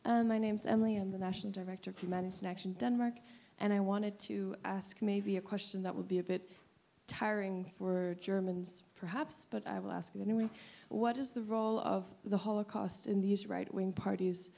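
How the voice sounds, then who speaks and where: Creaky, mellow woman asking tentatively. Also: mid-range voice, fairly even intonation. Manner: tentative, pausing often. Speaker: audience questioner, director of a humanities organisation. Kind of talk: spontaneous public question.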